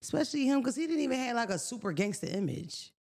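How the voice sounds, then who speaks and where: A woman with a raspy voice co-hosting a podcast, chatting casually in a blunt, profane, storytelling way. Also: a little high, animated, fast talker, darkish tone. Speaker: podcast co-host. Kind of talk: casual chat.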